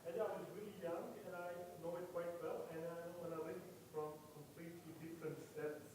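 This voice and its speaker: A man's voice: fairly high pitch, little pitch movement, brisk. A man in a conference audience, giving an unscripted answer from well away from the microphone. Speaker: audience member. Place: conference session room, away from the mic. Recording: faint, distant, reverberant hall, slight noise.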